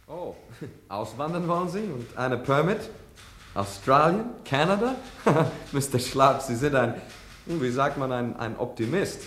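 An actor playing a jovial American occupation official in a radio drama, with an American accent and halting, muttered phrasing. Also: male voice, mid-range voice, animated, a bit bright, reverberant, a little hiss or hum. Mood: amused, curious.

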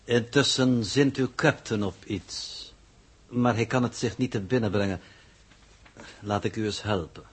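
A man's voice: on the low side, slightly rough. An actor playing a cold, unflappable impostor scientist in a 1960s radio drama, speaking formally and with smug superiority to his captors. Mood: smugly composed. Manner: formal, deliberate, smugly superior. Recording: muffled early 1960s radio recording.

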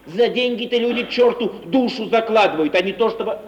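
High-voiced callow young gentleman played by an actor. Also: brisk, distant mic, natural voice. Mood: insistent, preachy. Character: naive, callow young gentleman, fresh from school, eager. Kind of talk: 1950s Russian radio theatre acting. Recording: muffled, echoey, hissy 1950 radio recording.

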